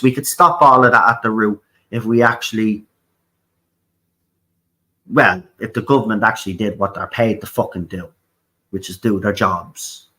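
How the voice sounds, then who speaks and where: A man's voice: mid-range voice, bright, forceful tone, poor audio. An Irish man from Ireland hosting a talk show, speaking bluntly with repeated words, swearing and frequent "right?". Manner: blunt, repetitive, swears, keeps asking "right?". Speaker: host. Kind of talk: opinionated political talk.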